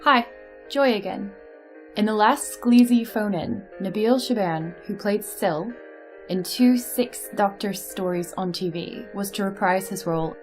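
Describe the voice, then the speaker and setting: A woman's voice: medium pitch, a little slow, faint music behind. A woman from a cast reading scripted behind-the-scenes notes. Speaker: cast member.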